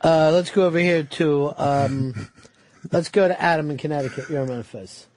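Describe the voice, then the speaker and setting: A male talk-radio host with a slightly higher voice, blunt and profane in his ribbing. His voice sounds close and dry in the studio, with no room echo. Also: normal speed, darkish tone. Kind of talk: live talk radio banter.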